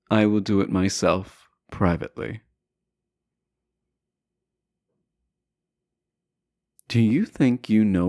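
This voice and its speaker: A man acting as a smug, self-assured royal prince in a romantic audio drama. His voice is very low and close, recorded dry, and he takes his time over each courtly, teasing phrase. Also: warm tone, dry recording. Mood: challenging. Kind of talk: romantic audio roleplay acting, addressing the listener.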